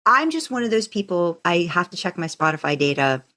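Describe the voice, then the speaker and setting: A woman with a slightly low voice and very lively intonation hosts a podcast. She talks casually and chattily, as in an informal conversation. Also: fairly quick. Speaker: podcast co-host.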